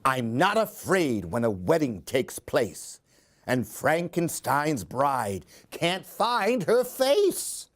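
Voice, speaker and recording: A male storyteller reads for children in a high voice that swings widely in pitch. He takes his time, giving the rhymes a theatrical, singsong lilt. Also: very animated, slow. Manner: theatrical, singsong rhyming delivery. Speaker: storyteller reading aloud. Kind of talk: expressive children's story reading.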